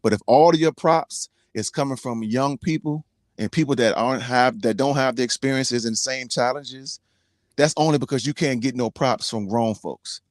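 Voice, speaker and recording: A man's voice: mid-range voice, moderate pace, no echo. Forties guy, blunt and preachy, lots of slang, dry close sound. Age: 40s. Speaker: co-host, team trucker. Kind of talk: casual podcast chat.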